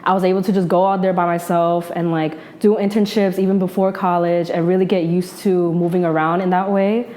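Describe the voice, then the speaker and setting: A young woman in her twenties with a New Jersey accent, a journalist talking about her upbringing in a relaxed, slangy interview, full of "like" and restarts. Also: on the low side, brisk. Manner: relaxed slangy talk, many likes and restarts. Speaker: journalist and podcaster guest. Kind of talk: casual interview chat.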